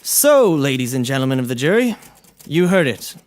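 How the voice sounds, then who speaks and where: A man's voice: mid-range voice, big pitch swings, moderate pace, lightly acted. A man playing a formal, accusing hotshot prosecutor questioning in court in a scripted audio comedy.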